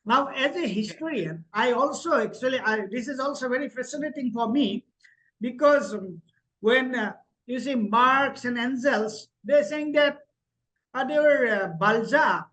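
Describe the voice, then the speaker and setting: A man's voice: very high-pitched, unhurried, sharp tone, poor audio. A man interviewing a guest, hesitant, with many fillers and restarts. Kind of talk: interview questions and comments.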